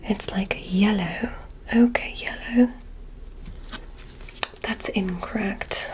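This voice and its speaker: Woman as a slow whispering alien examiner. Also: mid-range voice, fairly even intonation, lightly acted. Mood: curious, gentle. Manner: soft, deliberate, clinical. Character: curious, polite alien examiner testing a human. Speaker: ASMR roleplay performer. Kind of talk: whispered ASMR roleplay.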